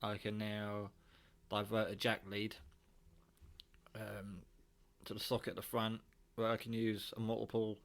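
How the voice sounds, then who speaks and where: A man's voice: fairly low pitch, monotone, a little slow. A British man talking casually and off the cuff in his kitchen, rambling informally as he shows a hobby project. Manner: rambling, informal, mildly sweary. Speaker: hobbyist vlogger presenting own radio build. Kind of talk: casual unscripted demonstration talk. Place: home kitchen.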